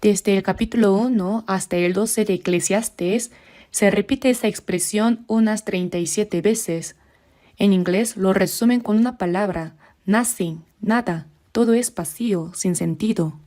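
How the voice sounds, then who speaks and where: Lady preaching at church, soft warm tone, slows way down reading bible verses. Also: mid-range voice. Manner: measured, slows down for scripture readings. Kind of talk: sermon.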